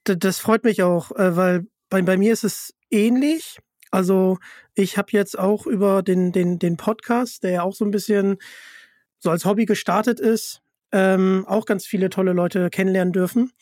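A podcast host talks in a casual, conversational way, halting and doubling back on words. The voice sits at a middling pitch and is recorded very dry, with hardly any room sound. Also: fairly even intonation, a little mellow, no echo. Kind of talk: casual podcast interview chat.

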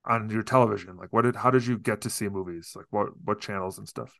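A man's voice: fairly low pitch, quick pace, vocal fry, fairly bright tone, dry recording, studio quality. Friendly rambling podcast host man. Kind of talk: casual interview.

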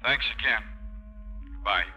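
A man's voice: mid-range voice, a little slow, bright, forceful tone, dull audio. An actor playing an earnest, polite man in a 1950s radio drama. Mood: guarded. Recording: old radio broadcast with music behind.

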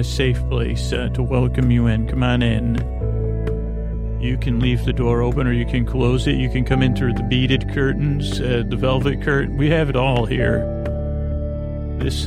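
A man hosting a sleep podcast, rambling gently in a soothing, creaky drawl full of fillers and self-corrections. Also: no room sound. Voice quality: creaky, low-key drawl.

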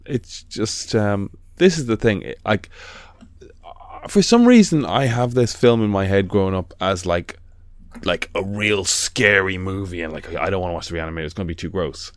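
A man's voice: low-pitched, moderate pace, dry recording. A man co-hosting a podcast in an easygoing, teasing way, with lots of fillers as he talks. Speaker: podcast co-host. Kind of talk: casual chat.

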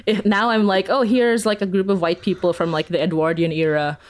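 A woman's voice: mid-range voice, fairly even intonation, brisk. A woman answering interview questions casually and conversationally, often saying "like" and chuckling as she explains. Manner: peppers talk with "like", chuckles while explaining. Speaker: interview guest, audio fiction creator.

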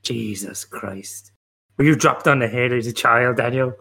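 A man plays a cantankerous older police captain in a comedy detective radio play. He scolds and blusters in mock outrage, his voice bright and forceful, at a middling pitch. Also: unhurried, vocal fry, slightly rough, full character voice. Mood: indignant scolding. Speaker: podcast panellist.

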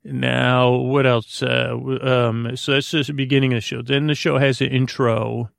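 Creaky, meandering man with a soothing drone. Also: mid-range voice, little pitch movement, no echo, clean studio sound. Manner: meandering, halting, repeats and trails off mid-thought; soothing, lulling, friendly. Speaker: podcast host. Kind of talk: rambling bedtime monologue to help listeners sleep.

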